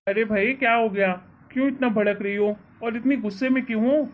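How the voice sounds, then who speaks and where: A woman's voice: fairly high pitch, fairly quick, narrow, tinny audio, lightly acted. Casting: woman as indignant young husband, exasperated and scolding, for a moral-story family quarrel. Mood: puzzled, annoyed. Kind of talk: acted dialogue in Hindi family story.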